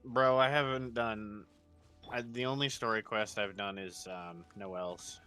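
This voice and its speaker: A man chats casually while streaming a game. His voice is fairly low but bright and forceful, with slow, hesitant phrasing and music behind him. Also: steady tone. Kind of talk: casual gameplay chat.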